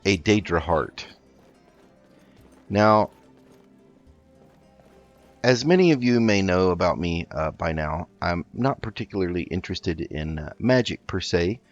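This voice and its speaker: Deep-voiced man narrating a game roleplay. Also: lively intonation, unhurried, long pauses, dull audio, soft background music. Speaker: gaming video host. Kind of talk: roleplay let's play commentary.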